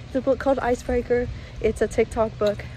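A young woman in college chatting casually to her phone camera in a relaxed vlog, upbeat and full of fillers. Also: on the high side, brisk, slight background noise, low-quality recording. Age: young adult. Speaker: college student vlogger. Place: dorm room and outdoors. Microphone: phone held at arm's length.

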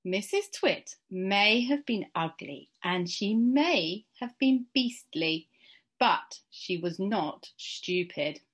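A woman in her thirties reading a children's story aloud, deliberately and with dramatic pauses. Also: mid-range voice, expressive. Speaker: school staff member reading aloud. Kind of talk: expressive children's storybook narration. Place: small indoor room at home.

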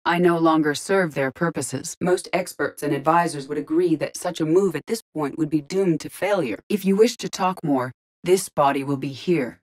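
Female android AI, low-pitched, measured, formal, analytical, detached, video game character. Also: poor audio. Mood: calm, analytical. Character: calm, analytical female artificial intelligence in an android body.

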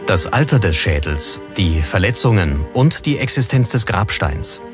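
A man's voice: deep voice, very animated, moderate pace. A man reading scripted documentary narration. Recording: music under the voice. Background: background music.

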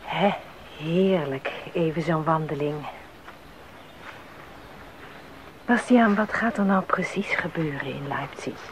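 A woman playing a composer's gentle, concerned wife in a Dutch radio drama, talking intimately. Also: low voice, unhurried. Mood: content, curious. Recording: faintly noisy 1980s radio recording.

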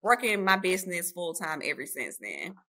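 A woman's voice: lowish voice, sing-song, normal speed, bright, forceful tone. A woman, chatting about her nursing career as an interview guest over a video call. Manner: friendly, talkative, addresses listeners as y'all. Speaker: guest nurse writer. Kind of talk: casual interview storytelling. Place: home room, video call. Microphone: webcam or laptop mic on video call.

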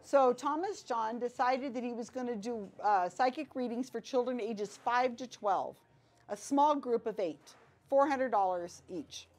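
Woman giving a lively pub talk. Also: on the high side, a little slow. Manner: animated, conversational, frequent asides. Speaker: guest speaker. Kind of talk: casual lecture to a pub audience. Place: small wood-paneled pub back room.

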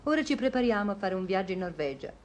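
A poised, independent young lady, played by an actress in vintage radio comedy. Her voice sits at a medium pitch, with polite, brisk and frank delivery. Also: very animated, natural voice. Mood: polite, composed.

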